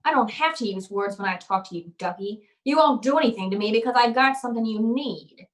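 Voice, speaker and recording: A woman's voice: a little high, fairly quick, background noise, close to an everyday voice. A woman playing a cynical, wry private eye, curt and hardboiled, in an old-time radio noir drama. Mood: curt, needling.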